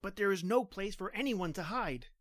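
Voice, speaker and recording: Male actor as a young trooper; earnest, insistent, high-pitched, dry close mic, radio drama. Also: animated, normal speed, a bit bright, no echo. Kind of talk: old-time radio drama reading.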